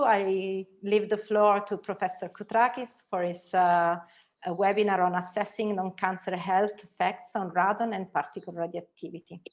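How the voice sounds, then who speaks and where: Woman moderating a webinar, halting. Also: mid-range voice. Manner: halting, frequent fillers and restarts. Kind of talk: event announcements. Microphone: video call.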